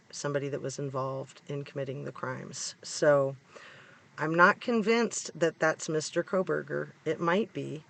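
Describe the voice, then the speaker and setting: A middle-aged American man with a hoarse, congested voice, giving casual, opinionated commentary to viewers in an informal, emphatic way. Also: a little high. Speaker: true-crime vlogger. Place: inside a parked car.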